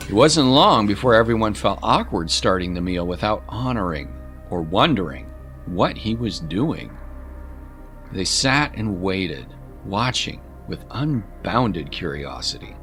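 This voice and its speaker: A man's voice: fairly low pitch, a bit bright. A man narrating a scripted story as an audiobook narrator, in a dramatic storytelling style. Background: music.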